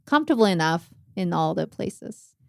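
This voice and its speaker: A woman's voice: fairly low pitch, sing-song, normal speed, vocal fry, a little mellow, no room sound, studio quality. A woman from Korea, a native Korean speaker, chatting casually in an interview as a jazz drummer, with many fillers and non-native phrasing.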